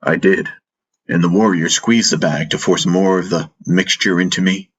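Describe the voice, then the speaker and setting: A man reading a first-person fantasy audiobook as narrator, in a solemn, deliberate, dramatic style. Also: sits low, fairly even intonation, husky, lo-fi sound. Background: faint music.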